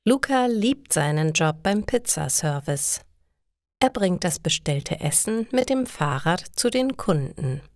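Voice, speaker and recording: A woman narrating a scripted story for language learners in a deliberate way, one short sentence at a time. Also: lowish voice, lively intonation, no room sound, studio quality.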